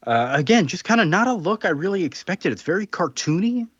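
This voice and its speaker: A man's voice: high-pitched, sing-song, brisk, fairly bright tone, slightly rough, no room sound. A man co-hosting a comics podcast, chatting casually and hesitantly with many fillers. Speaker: podcast co-host. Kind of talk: casual chat. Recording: dry, dull lo-fi sound.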